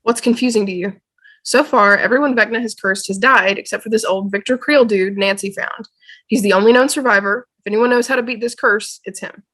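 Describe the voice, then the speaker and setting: A woman's voice: mid-range voice, brisk, a bit bright, close to an everyday voice. A woman acting a matter-of-fact, know-it-all teenage boy in a dramatic table read over a video call.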